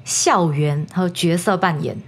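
A woman's voice: fairly low pitch, measured pace, faint music behind. A woman podcast host telling a horror story in a casual, chatty way, checking in with her listeners and dropping in English words.

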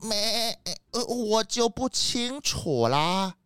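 Woman playing a slow, stammering old billy goat. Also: a little high, expressive, fairly bright tone, soft background music, heavily acted. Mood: anxious, earnest. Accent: Taiwanese Mandarin. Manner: stammering, bleating at phrase ends. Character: timid, flustered elderly male goat shopkeeper. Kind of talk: children's audio drama.

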